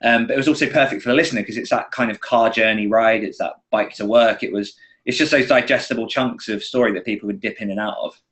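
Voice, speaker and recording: A man's voice: fairly low pitch, steady tone, fast, a bit bright. A British man chatting and explaining casually as an indie author guest on a podcast.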